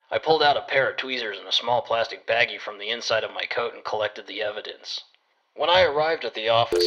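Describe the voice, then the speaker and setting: A man playing a private detective who narrates his case in a measured, matter-of-fact way. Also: lowish voice, sharp tone, a little hiss or hum, poor audio. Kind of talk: first-person detective story narration.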